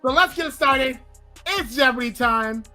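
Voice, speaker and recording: A woman's voice: sits high, expressive, unhurried, over music. A playful woman hosting a podcast, chatting casually and joking as she runs a trivia game. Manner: playful, hums the quiz tune. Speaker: podcast host. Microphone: remote call mic.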